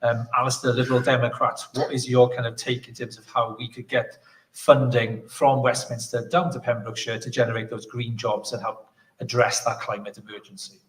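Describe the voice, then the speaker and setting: A man's voice: medium pitch, level tone, fairly quick, distant mic, rough recording. A man moderating a political panel, putting questions to candidates.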